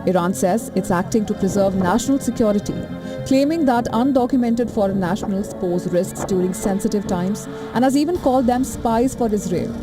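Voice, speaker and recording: A woman reporter narrates a news package with dramatic, emphatic phrasing. Her voice sits over a clear music bed. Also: medium pitch, a little fast.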